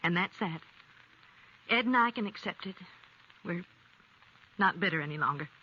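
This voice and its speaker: A woman's voice: lowish voice, expressive, moderate pace, natural voice. An actress playing a proud, resigned farm wife and protective mother in a 1950s radio western. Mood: firm, resigned. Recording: muffled, lightly noisy 1950s radio recording.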